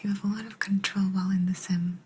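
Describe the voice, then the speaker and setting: A woman voicing an aloof, secretive alien abductor in a soft, breathy, unhurried whisper for an ASMR sci-fi roleplay. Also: medium pitch, flat delivery, a little hiss or hum, lightly acted. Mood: patient, explaining. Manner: unhurried, hushed, personal attention. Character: aloof female alien abductor, calm, secretive, gently apologetic.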